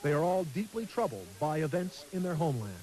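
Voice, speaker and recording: A man's voice: a little high, very animated, mellow, rough recording. Man reporting in a field news piece. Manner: measured, formal delivery.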